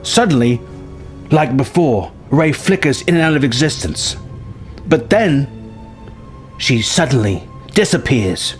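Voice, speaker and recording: A man telling a film story as a narrator, with a dramatic delivery and pauses for suspense. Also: mid-range voice, big pitch swings. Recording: music bed under the voice. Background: music.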